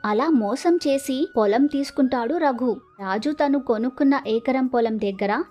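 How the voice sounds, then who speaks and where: A woman narrates a moral folk tale from a script. Her voice is very high, and music plays softly behind her. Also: unhurried.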